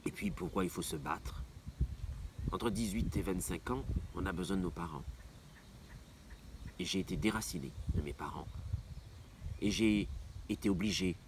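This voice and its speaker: A man's voice: low-pitched, moderate pace, pauses often. A middle-aged man from Martinique, an opera singer answering interview questions with passion, repeating phrases for emphasis. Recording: faint background noise.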